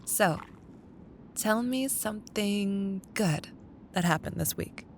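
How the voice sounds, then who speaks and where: A woman playing a caring, wistful young childhood best friend in an intimate, gently teasing ASMR roleplay. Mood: tender. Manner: tender, unhurried, teasing. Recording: audible low rumbling background noise.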